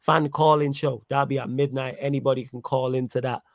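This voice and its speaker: A man hosts a live football fan show. He talks casually with plenty of slang and banter. His voice is mid-pitched and recorded dry and close. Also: fairly even intonation, a little fast, gravelly, no echo. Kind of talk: casual live sports chat.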